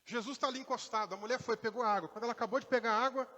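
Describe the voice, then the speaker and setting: A man's voice: extremely high pitch, steady tone, racing, sharp tone, big-room sound. A man from Brazil with a mixed Minas-tinged Brazilian Portuguese accent, preaching a conversational sermon in a church with acted quotes and asides. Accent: mixed Brazilian Portuguese, partly Minas Gerais. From Brazil, born in Rio, raised in inland Minas Gerais. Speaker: pastor.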